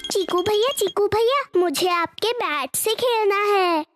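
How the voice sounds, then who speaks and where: A woman voicing a sweet, innocent small child in an animated children's comedy, with a cutesy manner. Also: extremely high pitch, measured pace. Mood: sweet, pleading. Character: tiny child Banku, sweet, innocent, adoring younger cousin. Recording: studio voice with cartoon music behind.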